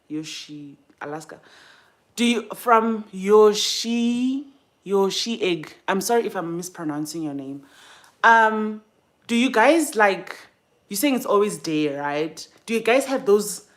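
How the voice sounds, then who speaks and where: A young woman with a South African accent chatting casually and informally to livestream viewers, thinking aloud and trailing off mid-thought. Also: fairly low pitch. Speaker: livestream host. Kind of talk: casual chat while doing makeup.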